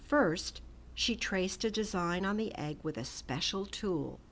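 A woman reading a storybook aloud to children in a gentle, expressive way. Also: mid-range voice, a little hiss or hum. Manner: gentle, expressive, slow and lively. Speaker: storybook reader.

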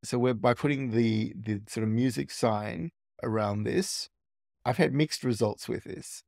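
A man's voice: medium pitch, unhurried, vocal fry, darkish tone, no room sound, studio quality. A man hosting a tech tutorial, casually walking through a screen recording and thinking aloud with small restarts.

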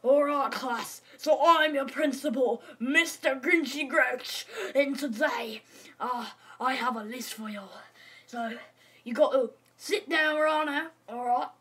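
A bossy, grouchy principal in a make-believe school play, acted out by a high-voiced performer. The voice is high and slow, repeating orders to keep everyone in line. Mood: stern, bossy.

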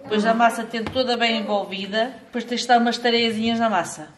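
A woman's voice: a little high, fairly even intonation, quick pace. Matter-of-fact Portuguese mother, echoey kitchen. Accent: European Portuguese. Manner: brief, matter-of-fact answers. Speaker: home cook, the host's mother. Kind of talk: explaining a family recipe. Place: home kitchen, indoors. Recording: echoey kitchen with background noise.

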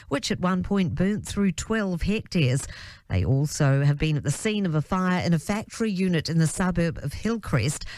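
A woman's voice: on the low side, darkish tone, no room sound. New Zealand woman reading radio news, dry studio. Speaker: newsreader. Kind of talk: scripted radio news bulletin.

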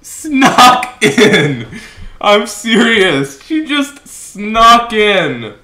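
A man in his twenties hosting a reaction video in casual chat, excitable and repeating his phrases. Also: sits high, extremely slow. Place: small room indoors. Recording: roomy sound, faint noise.

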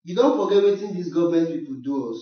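A man's voice: fairly high pitch, animated, fast, close to an everyday voice. A student actor, a man with a Nigerian accent, playing an insistent traditionalist village chief who pleads in a declamatory way in a radio drama. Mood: insistent pleading. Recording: dull, echoey, distant from mic.

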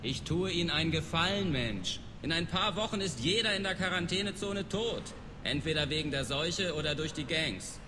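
Man playing a curt alien guard, high-pitched, echoing. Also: animated, normal speed, close to an everyday voice. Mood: scornful. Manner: curt, dismissive. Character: gruff alien mercenary guard, contemptuous of humans. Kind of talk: video game dialogue. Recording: reverberant game scene with clear background noise.

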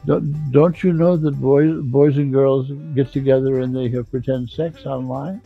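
An elderly man in his eighties speaks over a dull, lo-fi online call. His voice is gravelly and husky, and he talks haltingly, repeating how his phrases begin. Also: mid-range voice, steady tone, soft background music. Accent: non-American English accent. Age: 80s.

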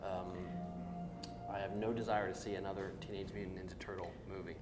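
A man's voice: sits low, flat delivery, fairly quick, over loud music. A middle-aged American man co-hosting a casual geek chat show, often hesitating and repeating himself. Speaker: co-host of a geek talk show. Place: indoor room at a table. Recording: roomy echo, chattering background.

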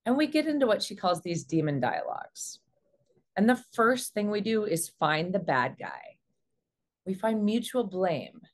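A woman in her forties speaking as a relationship coach, explaining things to camera in an earnest, measured way. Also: medium pitch, big pitch swings. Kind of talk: explanatory advice talk to camera. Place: small indoor room.

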